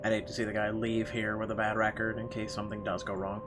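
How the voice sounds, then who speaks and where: A man narrating a visual novel as a let's player, reading aloud a little haltingly with casual asides. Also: on the low side, monotone. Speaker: gamer reading the story aloud. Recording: game music clearly behind the voice.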